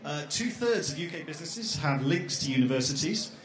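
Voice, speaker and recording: A man's voice: fairly high pitch, expressive, brisk. A middle-aged man co-presenting at an education fair, rambling and joking with lots of ums. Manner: jokey, rambling, with many fillers. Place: large echoey exhibition hall. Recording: echoey hall.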